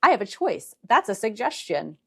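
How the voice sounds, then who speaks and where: A woman in her forties hosting an interview podcast, asking measured questions and affirming her guest. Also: medium pitch, very animated, gravelly, darkish tone, husky. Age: 40s. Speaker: host.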